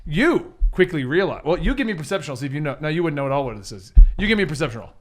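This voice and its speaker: A man's voice: a little high, very animated, rapid-fire, slight background noise. A man narrating a superhero tabletop game as game master, with dramatic storytelling.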